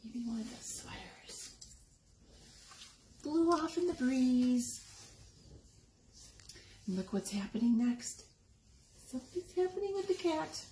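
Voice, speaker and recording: A woman reading a picture book aloud to young children, expressively, pausing to explain the pictures and ask questions. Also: high voice, expressive, warm tone. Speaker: story time reader. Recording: echoey room with background noise.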